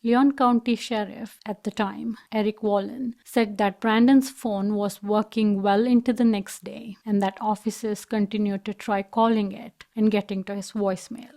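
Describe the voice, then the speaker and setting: A woman's voice: mid-range voice, steady tone, normal speed, hardly any pauses, a little mellow. A woman reading scripted documentary narration as a true crime storyteller.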